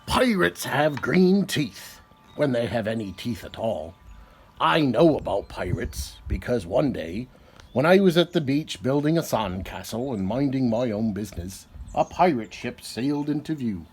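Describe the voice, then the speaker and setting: A man reading a children's picture book aloud in an expressive storytelling style. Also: mid-range voice, unhurried, breathy edge.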